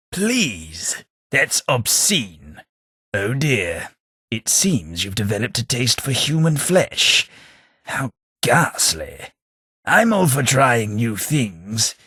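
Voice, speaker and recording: A man voicing a posh, sardonic British gentleman companion in a game, with a British accent. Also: on the low side, breathy edge, dry recording, heavily acted. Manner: dry, wry, posh and sardonic. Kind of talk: game voice lines.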